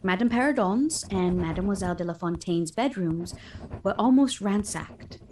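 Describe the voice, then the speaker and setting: Radio drama narrator, female actor: solemn young lady, formal and measured delivery, slight ambience behind. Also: medium pitch, animated, dark tone, a little hiss or hum, close to an everyday voice, rough recording. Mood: somber recounting. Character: solemn young gentlewoman recounting her household's ordeal.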